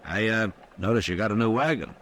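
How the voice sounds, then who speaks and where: An American actor playing a steady, firm frontier marshal in a radio drama, questioning in a measured, authoritative way. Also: male voice, on the low side, slight background noise, close to an everyday voice. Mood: probing. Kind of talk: 1950s radio drama acting.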